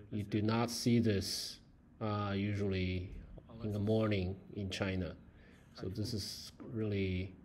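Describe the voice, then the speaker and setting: A man's voice: on the low side, darkish tone, a little hiss or hum. Man vlogging on a walk through city streets. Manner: halting, many fillers and self-corrections. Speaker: travel vlogger. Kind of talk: casual walking vlog narration. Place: city streets, mall and subway station.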